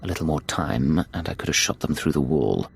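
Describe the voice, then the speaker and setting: A man's voice: lively intonation, a little fast, slight background noise, full character voice. An actor playing a cold, focused male Imperial agent in a video game, clipped and businesslike. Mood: dry, cold. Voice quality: low, gravelly.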